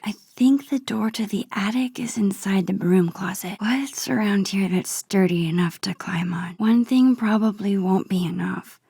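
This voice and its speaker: A woman voicing a shy, thoughtful young American teenage girl in a video game, in a soft, breathy, musing inner-monologue voice. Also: medium pitch, no echo, studio quality. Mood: pondering. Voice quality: breathy, hushed.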